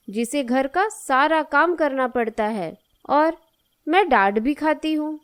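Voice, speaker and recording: A dutiful young daughter acted by a woman in a cartoon moral story. Her voice is high and she speaks slowly, with an earnest, plaintive and affectionate manner. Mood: aggrieved.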